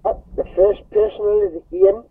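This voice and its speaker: A tinny, faintly noisy CB transmission brings in a man with a Northumbrian accent. His voice sits a little high as he trades contact details in radio style. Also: steady tone, normal speed. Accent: Northumbrian English. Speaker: CB radio operator.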